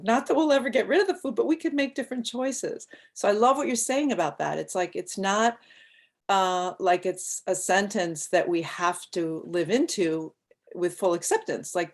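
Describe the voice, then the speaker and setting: A woman's voice: mid-range voice, lively intonation, normal speed. A woman hosting an interview, chatty and enthusiastic as she talks with her guest. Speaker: host. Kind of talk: casual interview talk.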